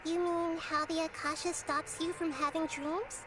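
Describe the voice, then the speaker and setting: A woman voicing a gentle, wise young girl deity in a video game, speaking in measured, formal explanations with some weariness. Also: extremely high pitch, little pitch movement, background noise. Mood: calm, grave. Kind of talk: scripted video game dialogue.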